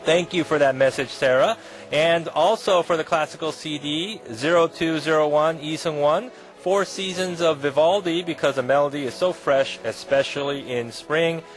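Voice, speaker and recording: A man hosting an evening radio show, polite with a smooth announcer delivery. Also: fairly high pitch, moderate pace, faint music behind, poor audio. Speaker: radio host.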